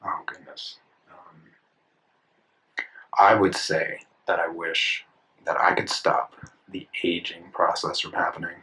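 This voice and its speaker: A man's voice: deep voice, flat delivery, slow pace, long pauses, sharp tone, low-quality recording. A man in his twenties talking casually while he draws, rambling and correcting himself as he thinks aloud. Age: 20s. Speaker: art channel host. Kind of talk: casual talk while drawing. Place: indoors at a desk, drawing in a sketchbook.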